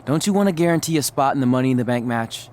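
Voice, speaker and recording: An actor playing a cocky, wisecracking champion wrestler in a video game, flippant and boastful. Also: male voice, medium pitch, lively intonation, rapid-fire, a little mellow, natural voice. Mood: eager, teasing. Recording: faint background noise.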